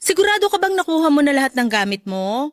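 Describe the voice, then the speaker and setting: A woman's voice: sits high, very animated, fast, no echo, clean studio sound. An actress playing a single mother in love, playful and teasing, in a radio drama. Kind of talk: Tagalog radio drama dialogue.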